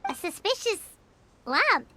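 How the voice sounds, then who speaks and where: A woman voicing a tiny, boastful, excitable fairy companion in a video game, with a squeaky childlike voice. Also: slow pace, slight background noise, exaggerated voice. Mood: curious. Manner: excitable, exclamatory.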